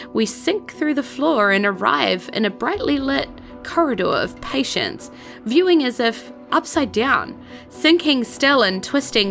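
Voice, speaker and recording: A woman narrating a scripted fantasy audio drama in a deliberate, portentous storytelling manner. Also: fairly high pitch, creaky, a bit bright. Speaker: game master narrator.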